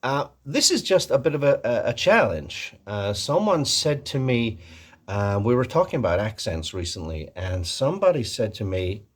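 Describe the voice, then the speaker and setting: Husky man with a put-on American accent. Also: medium pitch, big pitch swings, normal speed. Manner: rambling, jokey, self-deprecating. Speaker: host of a Doctor Who fan video channel. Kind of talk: casual comic vlog chat.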